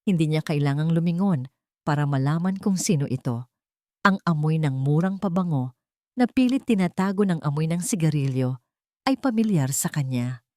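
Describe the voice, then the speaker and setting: A woman's voice: low-pitched, lively intonation, moderate pace, darkish tone, dry recording, clean studio sound. A woman reading a scripted romance story as a dramatic narrator.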